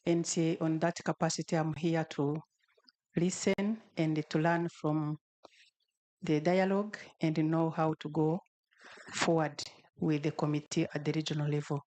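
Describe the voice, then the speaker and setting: Low-voiced formal woman legislator. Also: steady tone, a little slow, a little mellow. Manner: hesitant, formal. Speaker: regional legislator. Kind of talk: self-introduction at a policy dialogue.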